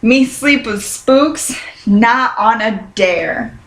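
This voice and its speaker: A woman voicing a sly father bear in a children's storybook, with drawn-out, dramatic warnings. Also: on the high side. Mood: mock-spooky warning. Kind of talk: children's storybook read-aloud. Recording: roomy, echoing sound.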